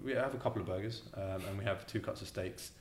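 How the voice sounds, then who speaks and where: Restaurant owner guy on a podcast, lowish voice, kinda stumbly, roomy echo. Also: fast talker, slight background noise. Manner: hesitant, repeats words, many ums. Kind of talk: casual interview answers.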